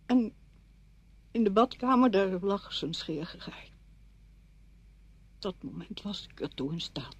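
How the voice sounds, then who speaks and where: An actress playing a bitter, stubborn, grieving widow in a radio play, brooding and cutting. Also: female voice, medium pitch, lively intonation, unhurried, pauses often, breathy edge. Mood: bleak, brooding. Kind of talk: Dutch radio play acting.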